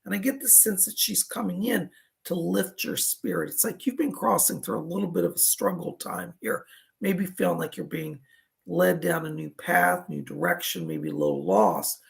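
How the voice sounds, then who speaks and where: A raspy man with a heavily gravelly, crackling edge to his voice, talking as a psychic medium during live readings. His pitch swings widely up and down as he chats along in a soothing, reassuring way. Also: sing-song, normal speed.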